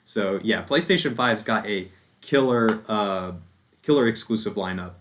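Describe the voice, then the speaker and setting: A man's voice: lowish voice, a little slow, a bit bright, a little hiss or hum. An American man chatting casually and informally as a podcast guest, with a self-deprecating manner. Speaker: podcast guest, games journalist. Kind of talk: casual chat.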